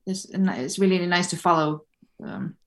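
Easygoing low-voiced woman guest. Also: unhurried. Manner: easygoing, thoughtful, filler-heavy. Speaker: podcast guest. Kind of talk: casual interview chat.

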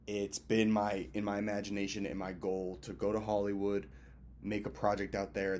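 A man's voice: on the low side, a little mellow, a little hiss or hum. A man in his twenties from Massachusetts, rambling casually and with plenty of swearing as he talks viewers through a birthday check-in from a hotel. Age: 20s. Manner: loose, profane, talks to viewers as friends. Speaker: filmmaker and event host vlogging.

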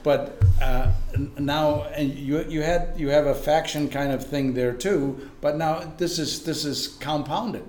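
Man in an echoey room, halting talk. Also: fairly high pitch, steady tone, a little mellow. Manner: repeats words and tags lines with "right?". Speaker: soccer analyst. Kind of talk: casual sports chat.

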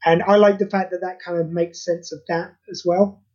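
A man's voice: sits high, level tone, moderate pace, darkish tone. A man speaking as a podcast interview guest in an earnest, rambling, informal way, over a dull lo-fi recording. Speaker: interview guest, creator of charity audio plays. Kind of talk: casual podcast interview chat.

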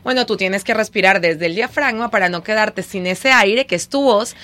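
A woman with a Venezuelan accent, a diction and voice teacher, speaking energetically and clearly as a guest on a radio show. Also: mid-range voice, normal speed. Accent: Venezuelan Spanish. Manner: energetic, articulate, promotional. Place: radio studio.

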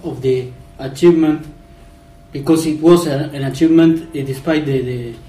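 Halting man, far from the mic. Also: on the high side, fairly even intonation, warm tone, reverberant. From Argentina. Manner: halting, many fillers and restarts. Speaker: podcast guest. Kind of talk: casual football podcast chat.

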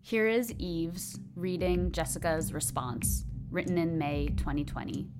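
A woman's voice: deep voice, measured pace. A woman reading scripted narration as the host of a podcast. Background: music.

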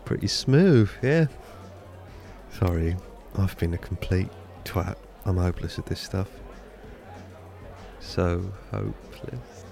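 A man's voice: sits low, lively intonation, takes it slowly, creaky, pauses often, music in the background, full character voice. An actor with a British accent playing a nervous, self-deprecating man on a first date in an audio drama. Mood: sheepish. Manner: hesitant, self-deprecating joking. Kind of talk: naturalistic audio drama dialogue.

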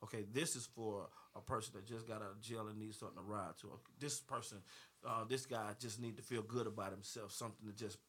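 Bright-voiced man rambling about his music. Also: lowish voice, steady tone, quick pace, slightly rough. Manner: rambling, repeats words, laughs easily. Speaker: gospel and R&B recording artist. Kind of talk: panel interview conversation.

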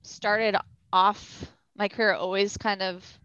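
A woman's voice: medium pitch, level tone, slow, sharp tone, clear, resonant tone, dry recording, highs cut off. A woman photographer from the Boston suburbs, chatting casually as an interview guest and rambling with lots of fillers. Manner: rambling, many fillers like "like" and "you know".

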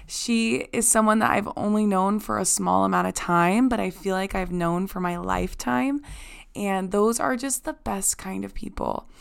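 A hoarse woman hosting a podcast, chatting effusively and casually, with lots of "like"s. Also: medium pitch, a bit bright. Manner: chatty, effusive, says "like" a lot. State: hoarse, nearly lost voice. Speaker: podcast host. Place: guest's apartment.

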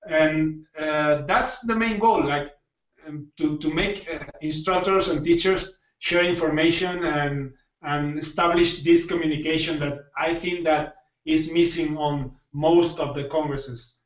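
A man with a fairly high voice hosts a casual podcast in non-native English, feeling for his words. He sounds somewhat distant in a roomy space, and the audio is muffled. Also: fairly even intonation, unhurried. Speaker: podcast host.

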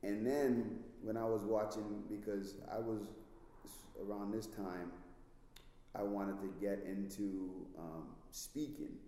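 A man's voice: fairly low pitch, steady tone, takes it slowly, dark tone. A man from the Bahamas with a Bahamian accent, hosting a casual interview and talking enthusiastically. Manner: enthusiastic, drops in "man" often. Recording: very echoey room with long reverb.

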